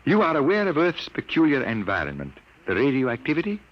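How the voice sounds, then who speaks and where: A man's voice: mid-range voice, wildly swooping pitch, fairly quick. A man acting a grave, troubled scientist of sixty-two in a 1950s radio drama. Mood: grave, explaining. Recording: muffled old radio broadcast.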